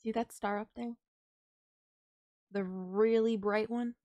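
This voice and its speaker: A woman's voice: fairly high pitch, fairly even intonation, takes it slowly, no room sound, muffled recording. A woman playing a tender, reassuring alien girlfriend in an intimate, bittersweet romantic audio roleplay. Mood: wistful, storytelling. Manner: soft-hearted, reassuring, gently teasing, addressing a lover. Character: tender alien girlfriend saying a sad goodbye.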